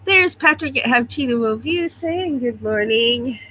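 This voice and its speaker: Woman, livestream host, chatty, calls out viewers by name, slightly stuffy, bright unhurried voice, faint hum behind. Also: fairly high pitch, expressive. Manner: chatty, greets viewers one by one. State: a little stuffy. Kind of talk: casual morning chat reading viewer comments. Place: home, at her computer.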